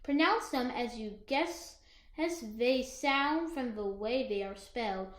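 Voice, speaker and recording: A woman co-reading a children's picture book aloud in an expressive, emphatic way. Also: fairly high pitch, slow pace, roomy sound. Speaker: co-reader of a children's story.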